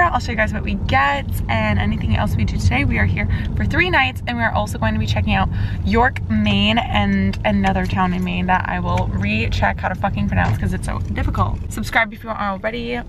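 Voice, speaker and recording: A woman's voice: mid-range voice, background noise. A young woman in her twenties chatting bubbly and casually to a handheld camera, talking through her travel plans as a vlogger. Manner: bubbly, chatty, quick asides. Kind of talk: casual vlog chat to camera. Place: car, then hotel room. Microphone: handheld camera at arm's length.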